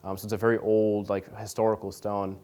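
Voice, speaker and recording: A young man from Nebraska answering interview questions in a relaxed, rambling way, full of fillers and restarts. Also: on the low side, a little fast, clear, resonant tone. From Nebraska, USA. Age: young adult. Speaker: interview guest. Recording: radio studio interview.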